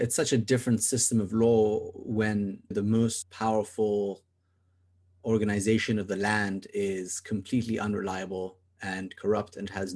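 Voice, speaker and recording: A man's voice: fairly low pitch, a little mellow. A young man from South Africa, co-hosting a podcast and talking earnestly in long, rambling stretches with many restarts. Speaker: podcast co-host. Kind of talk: casual podcast discussion.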